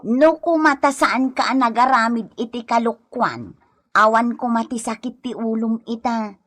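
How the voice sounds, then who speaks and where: Casting: nagging wife of a gambler, high-pitched and exasperated, radio comedy. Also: female voice, a little slow, fairly bright tone. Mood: mildly reproving. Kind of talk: Ilocano comedy radio drama acting.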